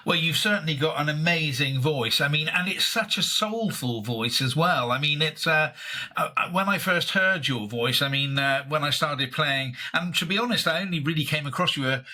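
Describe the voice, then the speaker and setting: A male interviewer works his way through long questions, hesitating and repeating himself as he searches for words. He sounds admiring of his guest. His voice sits slightly high and bright. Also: moderate pace. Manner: hesitant, filler-heavy, admiring. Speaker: interviewer, host.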